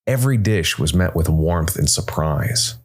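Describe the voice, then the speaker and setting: A man reads scripted dark fantasy narration in a measured way, with dramatic pauses between short phrases. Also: sits low, expressive. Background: background music.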